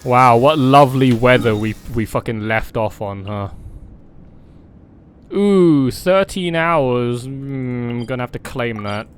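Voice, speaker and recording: A man's voice: medium pitch, takes it slowly, clean tone. A man chatting casually on a game livestream, blunt and mocking, swearing often. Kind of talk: casual livestream chat with gaming commentary.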